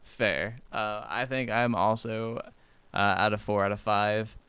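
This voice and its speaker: A man's voice: lowish voice, steady tone, unhurried, a bit bright, clean tone, no room sound. A man co-hosting a casual gaming podcast in an easygoing, agreeable, somewhat hesitant way. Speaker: podcast co-host.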